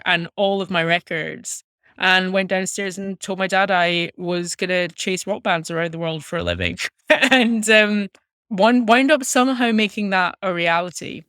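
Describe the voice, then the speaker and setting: Woman, Scottish accent, reflective interviewee, measured and articulate, bright voice, dry recording. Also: fairly low pitch, no echo. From Glasgow, Scotland. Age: 30s. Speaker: interview guest, music journalist. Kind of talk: reflective personal storytelling in an interview.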